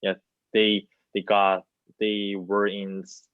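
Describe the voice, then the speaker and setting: A young man with a Korean accent, a native Korean speaker, speaks in a panel discussion. His voice is fairly low and his delivery slow and hesitant, as he searches for words. The video-call sound is a little muffled. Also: monotone, clean tone. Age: young adult. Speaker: student playwright, panelist. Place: plain room, indoors. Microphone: video call, wireless earbud worn. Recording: roomy, dull video-call audio.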